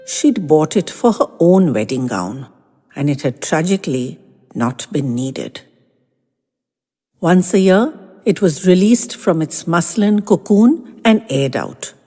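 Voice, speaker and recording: A woman narrates a short story with a slow, measured delivery and a wide, swooping range of pitch. She phrases it in a literary, dramatic way, pausing between fragments. Her voice rings with long reverb over music. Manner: expressive, measured, literary delivery with deliberate pauses. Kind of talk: dramatic first-person audio fiction reading.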